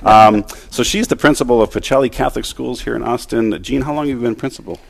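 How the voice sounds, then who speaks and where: A man's voice: mid-range voice, fast, vocal fry. An American man hosting on radio, chatting casually as he interviews. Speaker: radio host.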